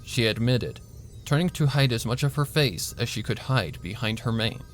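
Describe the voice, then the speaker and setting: Narrator: man, mid-pitched, steady measured reading, faint music bed. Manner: measured storytelling, voicing a flat doctor in quotes. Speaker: narrator and reader. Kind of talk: audiobook narration of fan fiction.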